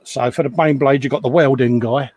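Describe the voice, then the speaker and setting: A man's voice: medium pitch, a little fast, dry recording, highs cut off. Chatty man on a live stream. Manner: relaxed, chatty, reads out viewer comments. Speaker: co-host of a live hobby stream. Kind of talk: casual chat.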